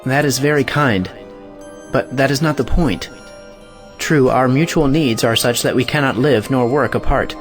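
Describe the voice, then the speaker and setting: A man acts out a principled doctor in a stage play. His speech is formal, measured and unbending, with a medium male pitch and faint music behind. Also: lightly acted. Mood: firm, formal. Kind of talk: theatrical audio play acting.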